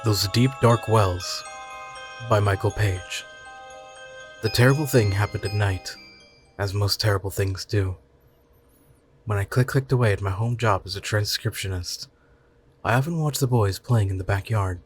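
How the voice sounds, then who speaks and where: A man's voice: fairly low pitch, a little slow, pauses often, faint music behind. A man narrating a scripted short story in the first person.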